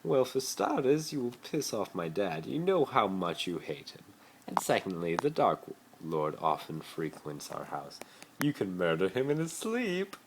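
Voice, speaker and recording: A man's voice: deep voice, sing-song, measured pace, creaky, voice pushed up, heavily acted. A man voicing a spoiled, pleading, haughty teenage wizard boy in an amateur dramatic fan fiction reading. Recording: noisy amateur phone recording.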